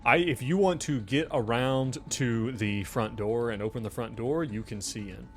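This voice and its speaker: Guy gm-ing a tabletop rpg, chatty scene narration, normal pitch, bit of music under it. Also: normal speed. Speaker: game master. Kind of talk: improvised narration of a tabletop roleplaying game. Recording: music playing faintly behind.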